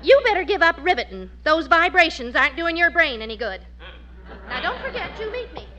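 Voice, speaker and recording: Old-radio wife: sharp-tongued, exasperated, high, very animated scold. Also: female voice, a little fast, noisy background. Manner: scolding, sarcastic. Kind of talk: 1940s radio sitcom acting.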